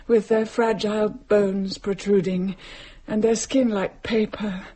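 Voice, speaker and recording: An actress playing an anguished woman possessed by a starving mother's spirit, speaking slowly and declaiming in a radio horror drama. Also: medium pitch, a little mellow. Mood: haunted, commanding.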